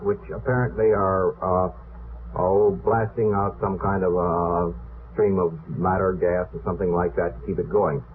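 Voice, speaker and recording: A man explaining technical matters off the cuff as a guest on a radio panel, in a hesitant way. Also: lowish voice. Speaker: guest expert on rockets. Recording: old radio recording, narrow phone-like sound, noisy.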